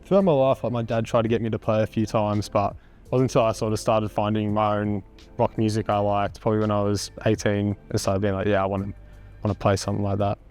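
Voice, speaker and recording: A young Australian man with a raspy voice, a band frontman answering interview questions in a laid-back, hesitant way with lots of ums. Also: fairly low pitch, little pitch movement, fast talker, darkish tone, faint music behind.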